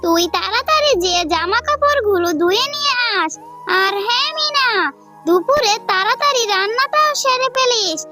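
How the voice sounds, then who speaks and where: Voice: extremely high pitch, expressive, moderate pace, over loud music. A performer voicing a cruel, nagging aunt in a children's cartoon, harsh, scolding and demanding. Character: cruel, nagging aunt ordering an orphan around.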